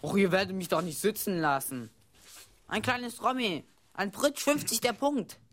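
An actress playing a confident, brisk, teasing card player in a radio play. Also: female voice, mid-range voice, lightly acted. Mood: teasing, eager.

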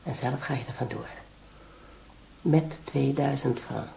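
An actress playing a scheming, ambitious wife in a radio drama, coaxing and insistent. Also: female voice, a bass voice, a little slow, creaky, dark tone, a little hiss or hum, heavily acted. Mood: calculating. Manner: coaxing, insistent, feigned concern. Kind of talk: Dutch radio drama acting.

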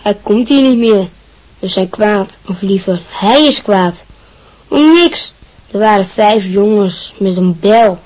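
A woman's voice: mid-range voice, animated, slow, darkish tone, poor audio. A woman playing a shy teenage schoolboy who talks in a hesitant, defensive way, in a radio play.

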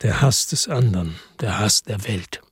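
A man's voice: fairly low pitch, normal speed, husky, dry recording, studio quality. A man narrating a radio drama in the first person, brooding and introspective.

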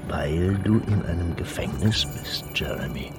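An actor playing an eccentric, calm guide in a virtual world, with a theatrical delivery that swings from hushed to shrill, in a German science fiction radio play. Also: male voice, a little slow, gravelly, over music, exaggerated voice. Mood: solemn, probing. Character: Farin, eccentric calm virtual-reality guide and prophet-like host.

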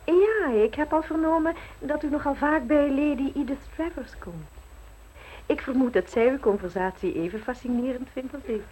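An actress playing an ambitious, worldly widow in a radio play, coolly flirtatious, arch and knowing. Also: female voice, high voice, moderate pace, dark tone, slight background noise, lightly acted, rough recording.

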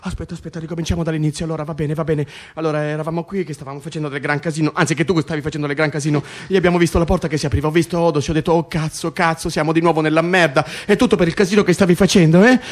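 An actor playing an excitable, wry political prisoner in an Italian radio drama, stammering, repeating himself and swearing. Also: male voice, on the high side, racing, hardly any pauses, close to an everyday voice. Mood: agitated. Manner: breathless repetitions, stammering, swearing. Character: excitable male political prisoner, agitated, wry, foul-mouthed. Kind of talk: Italian radio drama acting, conversational and intense.

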